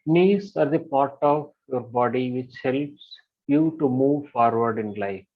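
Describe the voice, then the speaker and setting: A male meditation guide talks listeners through a body scan at a very slow, unhurried pace. His pitch is ordinary, and he repeats short affirmations in a soft, gentle way. The recording sounds dull and lo-fi.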